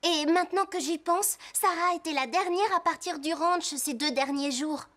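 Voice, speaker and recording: A woman voicing a chatty teenage girl who loves horses in a cartoon dub, talking in an animated way. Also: very high voice, normal speed.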